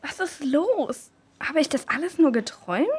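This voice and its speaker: A woman's voice: high voice, animated, moderate pace. A woman playing a sad, questioning young girl with a hesitant, searching way of talking in an audio drama. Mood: confused.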